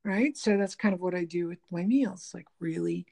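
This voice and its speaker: A woman's voice: fairly low pitch, normal speed, vocal fry, dark tone. A woman with a slightly nasal voice in casual conversation, rambling with many restarts. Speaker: guest talking about diet and the body.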